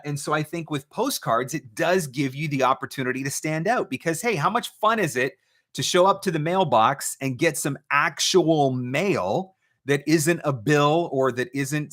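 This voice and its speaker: A man's voice: fairly high pitch, a bit bright. A man hosting a casual question-and-answer stream as a coach, talking conversationally and stressing points by repeating phrases. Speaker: host, voice-over marketing coach.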